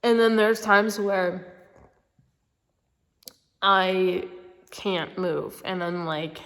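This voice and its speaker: A woman's voice: medium pitch, drawn-out words, a bit bright, long reverb tail. A young adult woman with a congested, stuffy-nosed voice, sick, chatting casually and in a rambling, informal way as a vlogger. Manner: informal, rambling, heavy use of "like". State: sick with a cold-like congestion and cough. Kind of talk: casual personal chat to camera.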